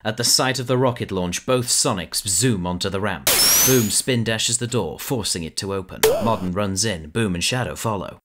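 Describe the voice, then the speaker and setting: Low-voiced male narrator. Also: moderate pace, over loud music. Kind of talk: fan audio drama narration.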